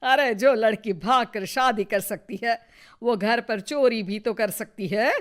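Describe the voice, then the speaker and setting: A woman's voice: a little high, measured pace, lightly acted. A woman playing a bitter, suspicious older Indian mother-in-law who taunts and needles in a Hindi moral story. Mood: suspicious scorn. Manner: sarcastic, needling.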